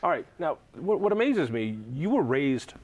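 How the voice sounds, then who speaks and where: A man's voice: on the high side, big pitch swings, normal speed, a little mellow. A man hosting an interview, teasing his guest in a joking way. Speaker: talk show host.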